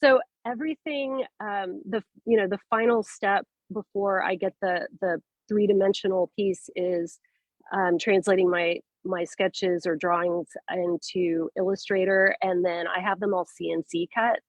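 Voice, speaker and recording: A woman artist chatting casually and in a relaxed way on a video call. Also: mid-range voice, measured pace, dry recording, highs cut off.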